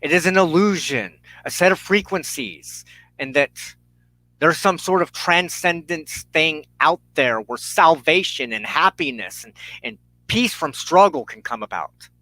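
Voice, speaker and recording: Slow, emphatic man preaching. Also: a little high, expressive, pushed and bright, no echo, low-quality recording. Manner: emphatic, deliberate, with dramatic pauses and repeated phrases. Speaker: preacher and host of a spiritual show. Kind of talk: impassioned sermon-like monologue.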